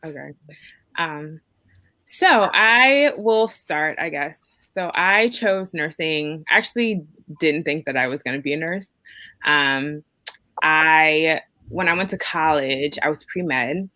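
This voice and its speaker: Young woman, low pitch, unhurried and halting, casual panel talk, nurse, dry close sound. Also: lively intonation, bright, forceful tone, dry recording. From born in Jamaica, raised in Washington DC. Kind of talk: casual panel introduction on a video call.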